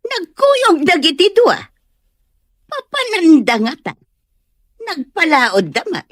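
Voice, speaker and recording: A woman's voice: extremely high pitch, very animated, slow pace, dry recording, clean studio sound, full character voice. A woman with an Ilocano Filipino accent playing a meddlesome, insistent village gossip in an audio comedy-drama. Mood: indignant. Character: meddlesome, grudge-holding village gossip woman, pushy party guest.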